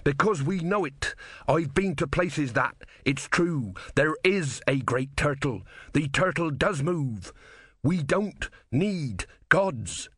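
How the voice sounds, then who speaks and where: A man's voice: fairly high pitch, expressive, slow, fairly bright tone, dry recording, clean studio sound, pitched above the performer's own voice, exaggerated voice. Man as a fervent, hot-headed rebel soldier. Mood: excited. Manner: urgent, zealous.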